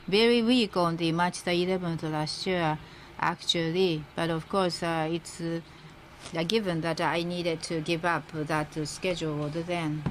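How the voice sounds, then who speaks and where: Low-voiced woman interpreting a speech. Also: steady tone, rough recording. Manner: halting, phrase-by-phrase delivery. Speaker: simultaneous interpreter. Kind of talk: live interpretation of a formal speech. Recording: interpreter feed with faint background noise.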